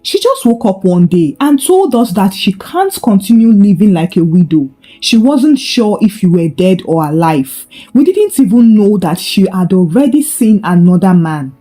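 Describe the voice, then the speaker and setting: A spiteful, smug sister-in-law in a folktale as a woman storyteller plays her. Her voice is mellow, with lively movement in pitch. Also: moderate pace, hardly any pauses, faint music behind. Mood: feigned innocence.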